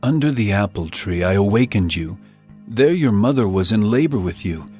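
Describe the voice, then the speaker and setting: An actor playing an adoring royal lover, declaiming love poetry in a measured, ardent way for a dramatized scripture audiobook. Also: male voice, lowish voice, expressive, darkish tone, faint music behind, natural voice. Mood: ardent, solemn. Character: adoring royal male lover praising his bride. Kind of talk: poetic dramatized scripture reading.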